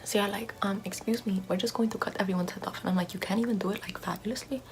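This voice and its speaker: A woman's voice: mid-range voice, steady tone, racing, a little hiss or hum, natural voice. A woman whispering as a vain, pompous aristocratic lady doing makeup in an ASMR roleplay, in a haughty, self-admiring, gossipy way.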